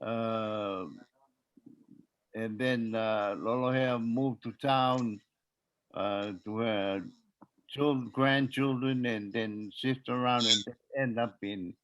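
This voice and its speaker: Slow, halting older man. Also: medium pitch, steady tone, a bit bright, no room sound, low-quality recording. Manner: halting, many pauses and filler sounds, searching for names. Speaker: family elder sharing memories. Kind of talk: casual family video call. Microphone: video call.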